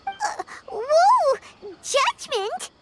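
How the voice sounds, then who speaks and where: A woman voicing a small, excitable, childlike fairy companion in an English video game dub, squeaky and exclamatory. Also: very slow, very noisy, full character voice. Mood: startled. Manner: exclamatory, dramatic. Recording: loud background music.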